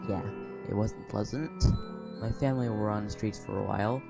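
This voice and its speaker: A man's voice: fairly low pitch, steady tone, normal speed, vocal fry. A man reading the part of a kind, supportive, plain-spoken young woman in a dramatic reading. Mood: bitter. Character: kind young woman who grew up poor. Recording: music behind voice. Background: music.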